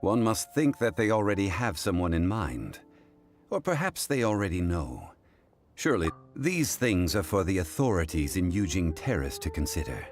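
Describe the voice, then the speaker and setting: A man playing a calm, learned, refined gentleman consultant in a video game, speaking formally and with measured care. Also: low voice. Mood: composed, explanatory. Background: faint game music.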